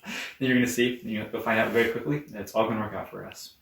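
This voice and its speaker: Brief: rambling fast-talking man, distant roomy mic. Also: lowish voice, fairly even intonation, vocal fry, fairly bright tone. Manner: rambling, halting. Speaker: performer in a skit. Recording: echoey room, mic far off.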